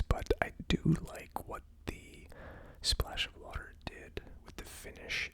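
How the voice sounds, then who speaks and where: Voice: mid-range voice, vocal fry, a bit bright. A person giving a casual ASMR tasting review as host, speaking informally and a little tentatively. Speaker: host of a whiskey tasting series.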